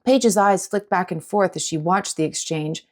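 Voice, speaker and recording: A woman's voice: lowish voice, normal speed. A woman audiobook narrator reading a scripted story in a dramatic style.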